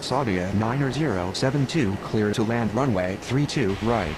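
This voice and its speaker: A man's voice: normal speed, natural voice. A man playing a businesslike pilot and controller, speaking in formulaic aviation radio phraseology. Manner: procedural, formulaic. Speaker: air traffic radio voice. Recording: noisy, with vehicle rumble behind.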